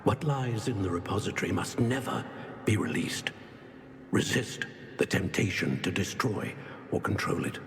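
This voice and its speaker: A man playing a wise old wizard guardian in a fantasy game, speaking gravely and formally in measured phrases. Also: deep voice, animated. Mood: grave warning. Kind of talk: video game fantasy voice acting. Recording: long cavernous reverb.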